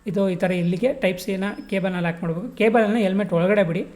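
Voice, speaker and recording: A woman vlogger talks through a hands-on tutorial at a quick pace, in a mid-pitched voice. Her is colloquial, and she mixes English words into casual, step-by-step instructions. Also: fairly even intonation, a little mellow. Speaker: vlogger demonstrating gear installation.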